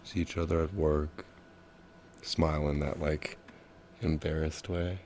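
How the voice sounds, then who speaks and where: Tender young male lover, low-pitched, American, halting and intimate, noir audio drama. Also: flat delivery, darkish tone, slight background noise. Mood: resigned, awkward.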